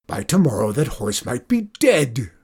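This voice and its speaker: A storyteller voicing an angry, gloomy farmer who shouts alarmist predictions of disaster in a children's tale. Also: mid-range voice, very animated, a little slow, gravelly, husky, full character voice. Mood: furious.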